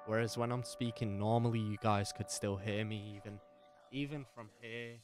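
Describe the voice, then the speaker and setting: A podcast host with a bassy, slightly gravelly voice, talking casually and rambling, repeating himself, on a dynamic microphone that he moves closer and farther. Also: steady tone, moderate pace, over music. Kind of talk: casual talk about microphone settings. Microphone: dynamic microphone, distance varies.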